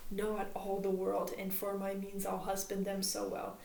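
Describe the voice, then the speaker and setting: A woman's voice: medium pitch, monotone, measured pace, creaky, mellow, roomy sound, slight background noise, rough recording. A woman voicing a furious young nobleman who declaims his defiance in a hot-tempered way. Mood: defiant.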